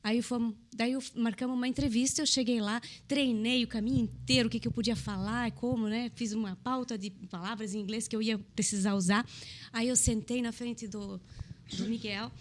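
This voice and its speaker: A woman's voice: a little high, steady tone, moderate pace, a little hiss or hum. A middle-aged woman from Brazil, a restaurant owner telling her story in a casual chat, acting out the dialogue as she goes.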